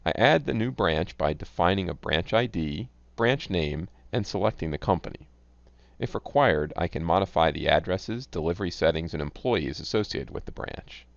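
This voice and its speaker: Deep-voiced man reading a software tutorial. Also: lively intonation, moderate pace, vocal fry, dry recording. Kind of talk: scripted instructional narration. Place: close, dry voice-over recording. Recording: dull, lo-fi close voice-over.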